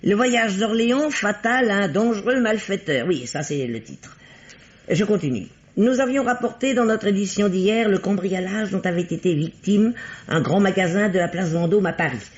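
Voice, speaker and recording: High-voiced, bossy amateur sleuth. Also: male voice, expressive, normal speed. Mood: excited. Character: shrewd, bossy amateur sleuth, teasing his female partner. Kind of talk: 1950s French radio mystery acting. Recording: dull vintage radio recording.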